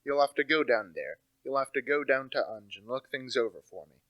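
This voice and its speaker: A young man acting a thoughtful amateur detective in a radio play, speaking in a deliberate, pondering way. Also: mid-range voice, animated, dry recording, dull audio, lightly acted. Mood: decisive. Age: young adult. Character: thoughtful male amateur detective, puzzling and terse.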